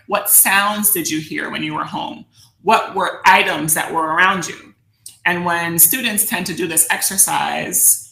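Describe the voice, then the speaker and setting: An American woman giving an instructional presentation in a deliberate, reflective manner, often posing questions to her listeners. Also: lowish voice, pushed and bright. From United States, New Jersey suburbs. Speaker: workshop presenter, educator. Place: indoor room, virtual session. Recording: roomy echo on the voice.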